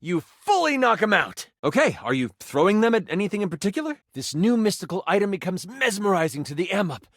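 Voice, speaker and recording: A man's voice: sits high, very animated, a little fast, hardly any pauses, fairly bright tone, dry recording, studio quality, exaggerated voice. An actor playing a game master, a man narrating a fantasy quest in a theatrical, suspenseful and emphatic way. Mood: dramatic, excited.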